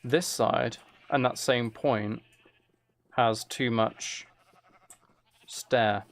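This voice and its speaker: Gravelly man thinking aloud over a game. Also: mid-range voice, steady tone, extremely slow. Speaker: streamer playing a building game. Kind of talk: casual gameplay commentary, chatting with viewers.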